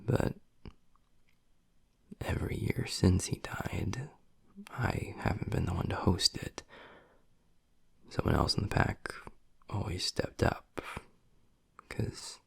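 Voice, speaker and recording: Casting: male actor as gruff young werewolf boyfriend for intimate audio roleplay, low-pitched, heavily fried voice, tender and breathy. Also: no echo, clean studio sound, heavily acted. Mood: wistful, tender. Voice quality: husky, gravelly, breathy. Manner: low, halting, half-whispered delivery.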